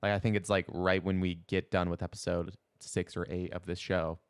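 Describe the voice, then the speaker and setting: A man with a fairly low voice chats casually as a podcast co-host. His phrasing is loose and informal, full of "like", and the sound is very dry with no room echo. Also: little pitch movement, moderate pace, clean tone. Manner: informal, peppers speech with "like". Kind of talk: casual chat.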